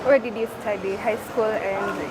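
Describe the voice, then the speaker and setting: A woman's voice: medium pitch, steady tone, normal speed. Kenyan woman interviewer over traffic noise. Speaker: show host, interviewer. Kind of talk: conversational interview, mixing English with Swahili.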